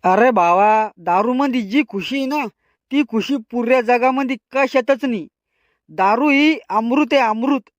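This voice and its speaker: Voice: medium pitch, a little slow, no echo, highs cut off, close to an everyday voice. A performer voicing a sentimental, argumentative young male drunkard in a cartoon comedy, with a rural Maharashtrian Marathi accent. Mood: maudlin.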